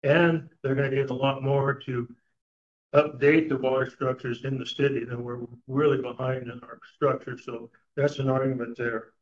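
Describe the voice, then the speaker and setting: An older man giving a public comment at a meeting, speaking haltingly with many fillers. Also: mid-range voice, flat delivery. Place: meeting room. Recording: dull lo-fi meeting recording.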